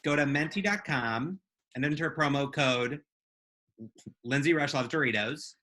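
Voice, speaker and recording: Podcast cohost w a bright forward voice, mid pitch, chatty and playful, talking to listeners like pals. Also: unhurried. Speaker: podcast co-host. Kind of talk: casual live podcast chat.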